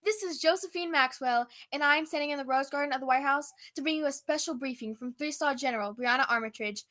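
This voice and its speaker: High, sharp, earnest woman playing a lady reporter, overblown, comic radio play. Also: fast. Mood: portentous. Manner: portentous, overblown. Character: earnest, dramatic female radio news reporter.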